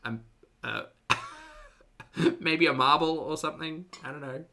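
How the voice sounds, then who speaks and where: A woman's voice: low-pitched, expressive, slow pace, creaky, pushed and bright. A woman with an Australian accent giving casual live reaction commentary as host, with wry, sarcastic asides.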